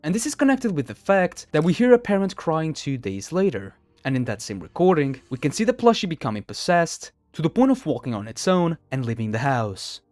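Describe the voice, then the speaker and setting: A man delivers scripted story recaps as a video narrator. His voice sits a little high and moves up and down a great deal. The sound is very dry, with no room around it. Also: very animated, brisk, few pauses, darkish tone, dry recording. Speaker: narrator of a horror video countdown.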